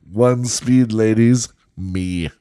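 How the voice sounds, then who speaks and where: A man's voice: medium pitch, a little mellow. A young man co-hosting a podcast, chatting crudely with blunt, sarcastic jokes. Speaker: podcast co-host. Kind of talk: crude casual banter.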